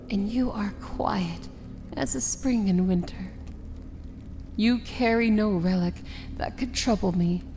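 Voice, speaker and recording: A woman's voice: mid-range voice, vocal fry, a little mellow, a little hiss or hum. A woman playing an imperious, amused princess of evil in a fantasy ASMR roleplay, speaking in a theatrical, sardonic manner. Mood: cool, probing. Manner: slow, theatrical, grandly sardonic.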